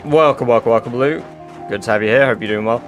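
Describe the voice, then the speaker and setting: A man's voice: medium pitch, fast talker, faint music behind. A man with an English accent chatting loosely with viewers on a live stream, full of fillers and casual swearing. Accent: English, mixed with northern English touches. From England.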